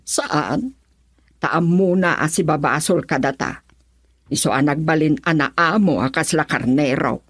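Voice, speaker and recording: Stern, husky-voiced Filipino mother. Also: low-pitched, unhurried, no room sound, clean studio sound. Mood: indignant recounting. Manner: scolding, emphatic. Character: stern, aggrieved mother confronting and protecting her pregnant daughter. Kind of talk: Ilocano radio drama acting.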